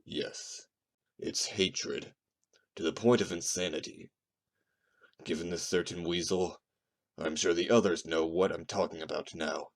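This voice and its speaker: Guy doing a grumpy boastful wolf, real low n gravelly, audio drama. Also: fairly even intonation, moderate pace. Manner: sneering, blunt. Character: gruff, hot-tempered, boastful, insulting male wolf witness. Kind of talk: amateur audio drama acting.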